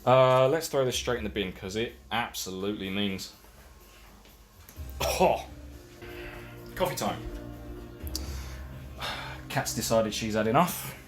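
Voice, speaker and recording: Man, fairly low voice, rambling casual live-stream chat, self-deprecating, faint music behind. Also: animated, slow pace, pauses often. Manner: rambling, self-deprecating, talks while working. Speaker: streamer building a case.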